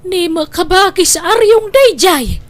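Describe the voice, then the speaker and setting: A very high female voice with an Ilocano accent acting as a village neighbour in a comedy drama. She is outspoken and gossipy, ready to stand up for herself. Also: animated, a little slow. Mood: gossipy, disapproving.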